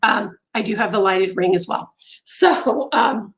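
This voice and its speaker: A woman hosting a casual crochet live stream from home, chatty with many ums and pauses, her voice going hoarse and interrupted by throat clearing. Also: mid-range voice. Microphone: phone on a mount.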